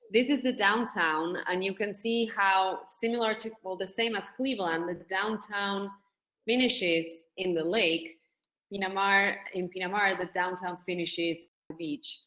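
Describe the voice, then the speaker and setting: A woman's voice: mid-range voice, a bit bright, roomy sound, low-quality recording. A woman, speaking as a panelist on a video call in a friendly way, a little flustered by her screen share. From Argentina.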